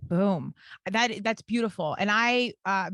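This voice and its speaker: A woman's voice: medium pitch, slow, a bit bright, dry recording, studio quality. A woman in her early forties hosting a podcast, chatting earnestly and filling her talk with "like". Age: 40s. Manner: chatty, many "like" fillers, earnest. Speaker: podcast host. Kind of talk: casual podcast conversation.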